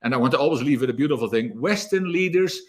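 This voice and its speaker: An energetic man speaking as a leadership coach in an online talk, often repeating words as he gathers his thoughts. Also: mid-range voice, fast. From South Africa.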